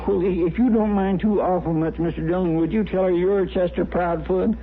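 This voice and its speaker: An actor playing a meek, pleading deputy in a 1950s radio western, stammering in a folksy rural American drawl. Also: male voice, high-pitched, steady tone, a little fast. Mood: sheepish, pleading. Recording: tinny, noisy old radio recording.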